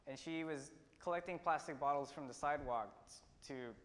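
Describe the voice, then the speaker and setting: A teenage man from India tells a personal story in an earnest way, pausing and restarting as he goes. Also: fairly high pitch, fairly bright tone. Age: teens. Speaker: student speaker. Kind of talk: prepared personal talk. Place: auditorium stage. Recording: long hall reverb.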